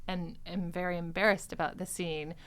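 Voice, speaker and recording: A woman's voice: fairly low pitch, steady tone, normal speed, smooth. A woman podcast host chatting casually and expressively, laughing often and acting out bits of dialogue as she retells scenes.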